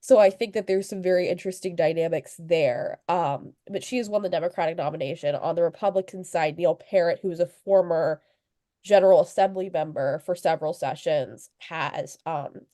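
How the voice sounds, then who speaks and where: A woman's voice: lowish voice, moderate pace, dark tone, no echo, clean studio sound. A young woman from Virginia, a local news reporter, talking casually over a video call while sniffly with a cold, with lots of fillers and small laughs. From Virginia, USA. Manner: chatty, fillers and restarts, light laughs. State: sniffly, under the weather. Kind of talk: informal talk at a club meeting.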